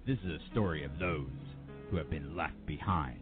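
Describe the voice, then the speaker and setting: A man's voice: a bass voice, moderate pace. A man playing a grave, dramatic reality-TV promo announcer in a parody trailer. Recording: tinny lo-fi over guitar music.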